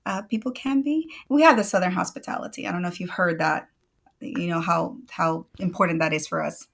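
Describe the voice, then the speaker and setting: A woman's voice: lowish voice, fairly quick, gravelly. A woman from El Salvador whose first language is Spanish, chatting casually on a video call livestream, laughing often and restarting her sentences. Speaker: guest.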